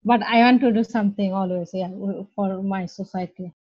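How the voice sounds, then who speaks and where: A woman from Bangladesh, an English learner, introducing herself haltingly with many fillers. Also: medium pitch, steady tone, a little mellow, no room sound. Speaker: ESOL student. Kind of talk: casual self-introduction. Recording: dull lo-fi recording.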